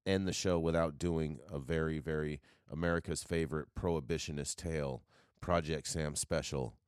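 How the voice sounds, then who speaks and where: A man's voice: a bass voice, steady tone, moderate pace, smooth, dry recording, studio quality. A man hosting a casual news chat, sarcastic, often stumbling and restarting mid-sentence. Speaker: news show host.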